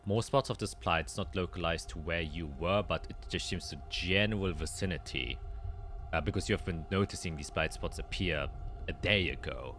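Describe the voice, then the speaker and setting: A man narrating a tabletop roleplaying game as game master, descriptive and halting as he thinks aloud. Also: deep voice, sharp tone.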